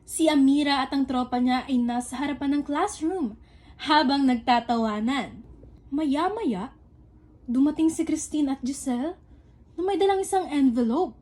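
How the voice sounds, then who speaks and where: A woman's voice: high-pitched, moderate pace, darkish tone, natural voice. A woman reading scripted narration as the storyteller of an amateur school radio drama. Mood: narrating.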